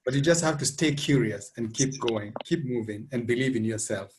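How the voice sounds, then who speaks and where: A man with a mid-pitched voice talks as a guest on an online panel. He gives advice in a relaxed, loose way, with "like" fillers. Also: normal speed, a little hiss or hum. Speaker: guest from a council digital team. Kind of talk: casual panel discussion. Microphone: video call.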